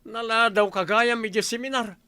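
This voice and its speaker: A man's voice: very high-pitched, steady tone, moderate pace, background noise. A man acting as an exasperated son in an Ilocano comedy radio drama, nagging and reproachful, with an Ilocano accent. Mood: scolding.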